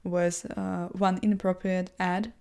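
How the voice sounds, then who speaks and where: A young woman with a first language other than English, chatting casually and self-deprecatingly as she thinks out loud, full of fillers and restarts. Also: fairly low pitch, little pitch movement, measured pace, creaky, clear, resonant tone. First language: not English. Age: young adult. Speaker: vlogger. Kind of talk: casual rambling monologue. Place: seated indoors in a chair.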